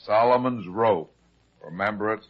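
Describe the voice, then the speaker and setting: A man playing a low, wry detective. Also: lightly acted. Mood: matter-of-fact. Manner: unhurried, dry, terse. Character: calm, shrewd, wry private detective. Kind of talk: old-time radio detective drama acting. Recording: muffled lo-fi old radio recording.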